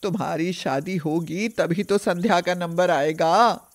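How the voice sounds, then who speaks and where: A woman plays an anxious middle-aged mother in a cartoon moral tale. She talks slowly, in a coaxing, pleading manner. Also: fairly low pitch, close to an everyday voice. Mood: coaxing, insistent. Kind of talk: animated moral story dialogue.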